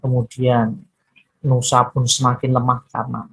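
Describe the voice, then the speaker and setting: A woman storyteller narrating a children's folktale expressively, with deliberate, drawn-out dramatic phrasing. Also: very deep voice, little pitch movement, vocal fry, a bit bright, breathy edge, poor audio.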